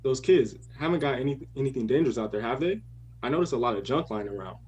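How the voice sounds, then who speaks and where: A man's voice: mid-range voice, animated, fast talker, a little mellow, husky. A man playing an alarmed, exasperated husband and father in a radio horror drama, exclaiming and demanding. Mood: puzzled, uneasy.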